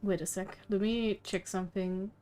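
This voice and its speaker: A woman game streamer chatting casually on a live stream, halting and rambling with half-finished sentences. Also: medium pitch, fairly even intonation, mellow, faint music behind.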